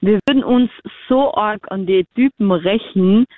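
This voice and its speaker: A woman's voice: mid-range voice, unhurried, no room sound. A young woman, talking earnestly and a little hesitantly in a casual chat. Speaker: guest. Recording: dry tinny phone-like sound.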